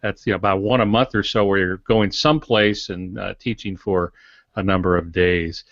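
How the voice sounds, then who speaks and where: A man in his sixties with a lowish voice hosts a podcast informally. He talks in a halting, folksy way with a light joking touch. The sound is dry but slightly dull and lo-fi. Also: fairly even intonation, dry recording. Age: 60s. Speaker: podcast co-host. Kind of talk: informal guest introduction.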